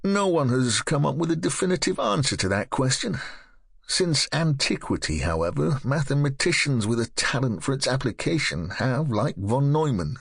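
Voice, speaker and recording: A man narrating a nonfiction audiobook in a measured, articulate, scripted reading. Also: mid-range voice, very animated, gravelly, breathy edge, dry recording, clean studio sound.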